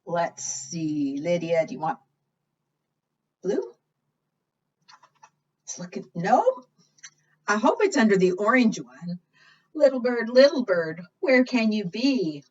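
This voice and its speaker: A woman's voice: medium pitch, big pitch swings, slow pace, pauses often, darkish tone. A woman librarian leading an interactive preschool storytime, talking to small children with coaxing questions and singsong refrains. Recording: muffled, distant microphone.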